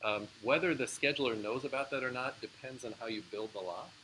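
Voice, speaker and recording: A man's voice: medium pitch, fairly quick, pushed and bright, a little hiss or hum, rough recording. A man giving a live university lecture, explaining in a casual way with frequent fillers and answering student questions. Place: lecture room.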